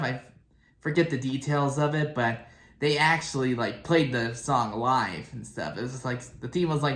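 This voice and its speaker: A man hosting a reaction video, giving casual, rambling commentary in a hesitant, halting, informal way. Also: mid-range voice. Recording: roomy echo, loud show music behind.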